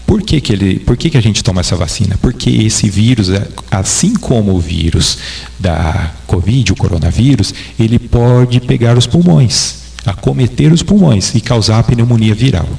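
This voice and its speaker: A man's voice: on the low side, animated, normal speed, creaky, darkish tone. A man with a Brazilian Portuguese accent, a doctor answering interview questions in a patient, didactic way. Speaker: doctor guest.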